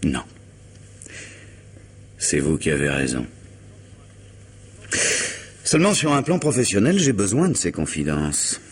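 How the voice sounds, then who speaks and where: An actor playing an urbane, probing lawyer in a vintage French radio drama, speaking in a measured, formal, questioning manner. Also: male voice, low-pitched, wildly swooping pitch, breathy edge, heavily acted. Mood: earnest, measured. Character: urbane, probing male lawyer and family friend.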